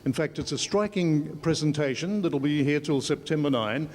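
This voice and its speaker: A man's voice: a little high, normal speed, a little mellow. A man with an Australian accent, working as a television reporter and doing an on-location interview in a large indoor space. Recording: echoey hall with clear background noise.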